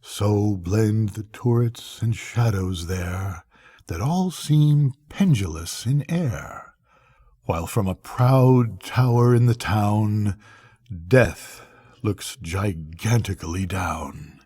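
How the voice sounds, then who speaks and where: An older man reading poetry aloud as a bedtime story, in a solemn, measured, dramatic manner with pauses between phrases. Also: on the low side, warm tone, slightly rough, no room sound. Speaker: grandfather reading bedtime poems to grandchildren.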